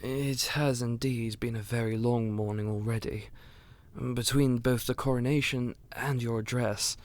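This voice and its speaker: A man playing a courteous, attentive royal aide in an audio roleplay, with a gentle, formal manner. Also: mid-range voice, steady tone, a little slow, close to an everyday voice. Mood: concerned, courteous. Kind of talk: scripted audio roleplay acting.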